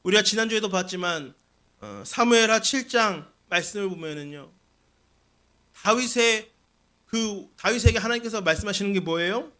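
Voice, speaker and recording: A man's voice: high-pitched, moderate pace, sharp tone. A man preaching a Sunday sermon as senior pastor, speaking earnestly to his congregation and posing rhetorical questions. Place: church sanctuary.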